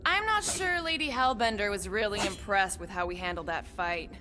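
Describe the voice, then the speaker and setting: An actress playing a tough, practical former assassin in a video game, firm and matter-of-fact. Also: female voice, mid-range voice, lively intonation, moderate pace, background noise, natural voice. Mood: dry, practical.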